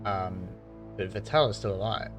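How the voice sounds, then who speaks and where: Low-voiced man thinking out loud. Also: moderate pace. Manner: hesitant, thinking aloud. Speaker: gaming video creator. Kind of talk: casual commentary, piecing story together. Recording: game music behind voice. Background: game music.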